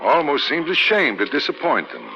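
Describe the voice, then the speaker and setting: An actor in a 1940s radio drama playing a bridge worker secretly bent on revenge, urgent and theatrical. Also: male voice, on the high side, sing-song, normal speed, bright, forceful tone, muffled recording, exaggerated voice. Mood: quietly menacing. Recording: noisy old 1940s radio recording.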